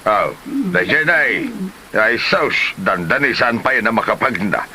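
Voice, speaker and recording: Gambling buddy acted by a man, Ilocano Filipino, excitable, very lively mid-range voice, comedy drama, crowd chatter behind. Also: lowish voice, normal speed, natural voice. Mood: animated. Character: excitable male village gambling crony. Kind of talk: amateur comedy drama acting.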